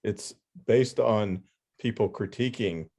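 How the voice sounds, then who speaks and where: A man hosting a podcast in casual conversation, explaining his thoughts in a halting, thoughtful way. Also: on the low side, a little mellow, breathy edge. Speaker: podcast host. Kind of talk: casual interview chat.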